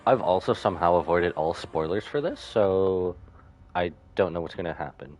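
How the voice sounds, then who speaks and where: A man's voice: low-pitched, moderate pace, darkish tone, slight background noise. A man doing casual live game commentary as a streamer.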